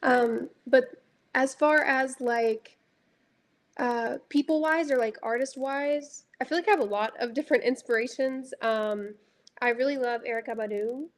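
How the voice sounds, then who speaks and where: A young woman from Dallas with a light, high voice, a music artist talking reflectively about her songs and filling her speech with casual fillers. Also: a little slow. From Dallas, Texas. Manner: reflective, heavy use of filler words. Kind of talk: casual interview chat.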